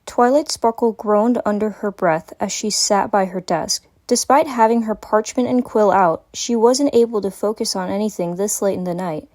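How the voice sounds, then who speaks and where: A woman's voice: mid-range voice, normal speed, few pauses, darkish tone. A woman giving a dramatic reading of a scripted story as its narrator.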